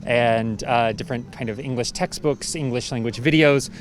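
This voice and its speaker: A man from Arkansas answering street interview questions in a relaxed, chatty way. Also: mid-range voice, moderate pace, slight background noise. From Arkansas, United States. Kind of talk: casual answers.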